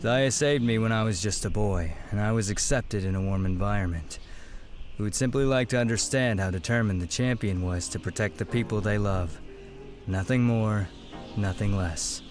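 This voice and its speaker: Guy acting a proud noble warrior, voice a bit low, talks formal, fantasy roleplay, music loud behind. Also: lightly acted. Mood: sincere, grave. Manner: formal, measured phrasing. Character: proud young warrior brother, intimidating yet honourable. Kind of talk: fantasy audio drama acting.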